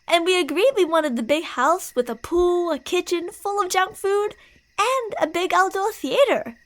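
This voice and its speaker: A woman's voice: very high-pitched, normal speed, a bit bright. A woman playing a possessive, delusional young yandere bully in an ASMR roleplay, teasing, insistent and emotionally volatile.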